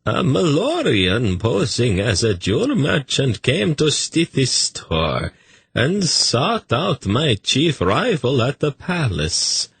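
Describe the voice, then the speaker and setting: A man doing a dreamy, high-voiced eunuch courtier. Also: creaky, fairly bright tone, slightly rough, no room sound, heavily acted. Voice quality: dreamy, drawn-out delivery. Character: dreamy, languid male eunuch, a scheming former courtier.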